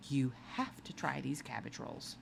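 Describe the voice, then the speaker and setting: A woman voicing a quirky, bright girl from a children's novel, a loyal friend with a questioning, wry manner. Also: very deep voice, a little slow, gravelly, slight background noise, exaggerated voice. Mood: curious.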